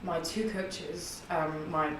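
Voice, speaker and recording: A woman in her early thirties with a husky, low alto voice, telling a long story in a rambling, chatty way with many fillers in a podcast interview. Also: steady tone, slow, far from the mic, noisy background. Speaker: guest, singer and theatre artist. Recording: echoey room, voice off-mic.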